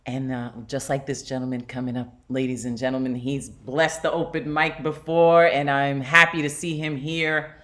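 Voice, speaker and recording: A woman's voice: very deep voice, level tone, moderate pace, hardly any pauses, fairly bright tone. A woman hosting an online open mic night, with an effusive, exclamatory way of talking. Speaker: host.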